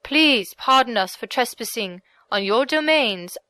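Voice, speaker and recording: A woman's voice: a little high, animated, unhurried, bright, forceful tone, no echo. A humble wandering priest from an old storybook, played by a woman narrator. He speaks meekly and courteously, always deferring to his listener. The sound is dry and close. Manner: meek, polite, deferential. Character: meek humble male priest, a knight in disguise. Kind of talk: audiobook character voice.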